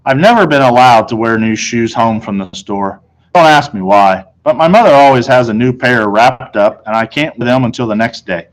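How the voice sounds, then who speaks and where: A man's voice: mid-range voice, normal speed, rough recording. A man reads a children's novel aloud as first-person storytelling, in the role of a teacher.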